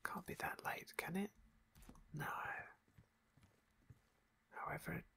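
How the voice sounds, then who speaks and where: A man whispering ASMR narration of a video game playthrough in a soft, unhurried, genteel way.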